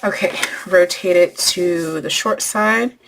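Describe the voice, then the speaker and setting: A woman hosting a craft tutorial, losing her voice and clearing her throat as she talks through each step while working. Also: fairly low pitch, takes it slowly, background noise. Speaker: crafting channel host.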